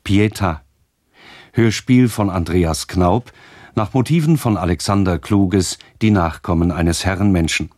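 A man reading radio drama credits as an announcer, in a measured, formal way. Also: low-pitched, a little mellow, no room sound, clean studio sound.

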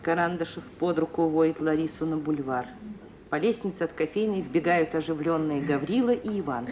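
Low-voiced woman narrating a radio drama. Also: fairly quick, a little mellow, dull audio. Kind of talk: scripted narration of stage directions. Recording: old radio recording with faint hiss.

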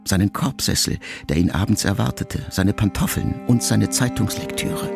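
A man with a very low, gravelly voice reads a literary letter aloud. His delivery is measured and reflective, pausing often between phrases. Clear music plays underneath the narration. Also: animated. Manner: measured, reflective reading with long pauses. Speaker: audiobook reader. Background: soft music.

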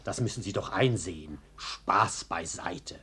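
An actor in a German radio play playing a polite, coolly menacing arsonist who is deliberate and mockingly earnest. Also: male voice, low voice, animated, bright, forceful tone, slightly rough, heavily acted. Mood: calm menace.

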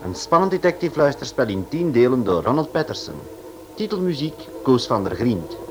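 A man's voice: medium pitch, very animated, fairly quick, background noise. A man announcing the title and credits of a radio detective serial in a scripted style.

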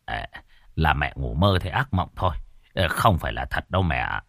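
A middle-aged son pleading and comforting his mother, as a storyteller voices him. His voice stays low and gravelly, close to the narrator's own. Dry and close, as in a read-aloud story. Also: sing-song, normal speed, a bit bright, dry recording, heavily acted. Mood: soothing.

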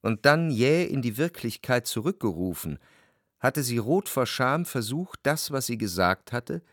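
A jealous, introspective narrator in a literary radio drama. He reflects with precision and torment in a mid-pitched voice that rises and falls widely. The recording is close and dry. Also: sing-song, a little slow, fairly bright tone, dry recording. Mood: reflective. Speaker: first-person narrator.

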